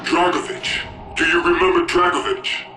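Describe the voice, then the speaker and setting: An actor playing a stern, relentless interrogator in a video game cutscene, cold, demanding and insistent. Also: male voice, sits high, flat delivery, normal speed, noisy background. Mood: angry, demanding. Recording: echoey, under dramatic music.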